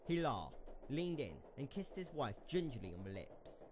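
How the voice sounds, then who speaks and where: A lightly noisy home recording of a man narrating a story. His pitch is middling and moves up and down in an animated way as he reads the scripted prose. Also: moderate pace. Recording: slightly noisy amateur microphone recording.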